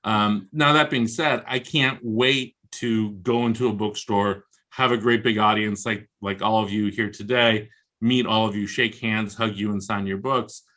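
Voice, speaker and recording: A man's voice: lowish voice, fairly even intonation, measured pace, bright, forceful tone. An American man speaking casually as a guest author in a video interview, with a wry, comically exaggerating and self-deprecating manner. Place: indoors on a video call. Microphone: video call.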